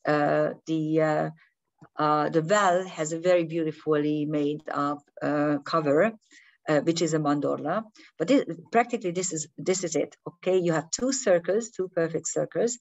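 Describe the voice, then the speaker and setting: A woman with a low, deep voice talks through spiritual ideas in an unscripted talk to her viewers. Her English is a later-learned language, and she often pauses, restarts and hunts for the right word. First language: not English. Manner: hesitant, restarting and searching for words. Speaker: spiritual teacher.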